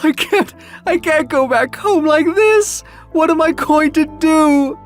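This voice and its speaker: Casting: man playing distraught young male muse, crying and panicky, pitched well up, for audio drama. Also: slow pace, soft background music. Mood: panicked, sobbing. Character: anguished young male muse, newly winged, panicky, sobbing.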